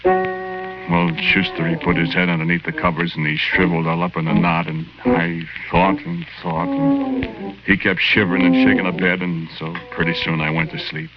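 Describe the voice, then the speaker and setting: A man's voice: low-pitched, very animated, moderate pace. An actor playing the naive, friendly ghost of a murdered small-time guy, telling his story in folksy, ungrammatical 1940s radio drama style. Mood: wistful, reminiscing. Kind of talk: 1940s radio drama acting, first-person narration. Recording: dull old 1940s radio recording with music.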